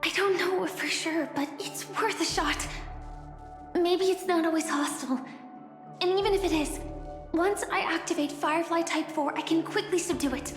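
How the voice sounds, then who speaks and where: An actress playing an earnest, self-sacrificing young warrior woman in a video game, speaking with dramatic determination. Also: sits high. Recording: echoing reverb with music behind.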